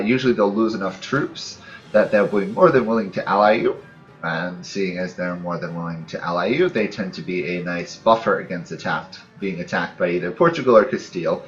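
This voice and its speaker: A man's voice: lowish voice, fairly bright tone. A man giving casual, unscripted commentary as the host of a gaming let's play, musing aloud in a hesitant way with trailing thoughts. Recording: dull lo-fi mic, music behind. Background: background music.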